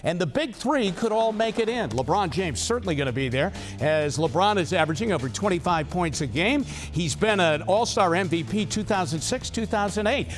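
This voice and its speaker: High-pitched American sportscaster with punchy phrasing. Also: male voice, expressive, normal speed, few pauses, soft background music. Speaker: TV sports anchor. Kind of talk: sports news commentary. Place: TV studio.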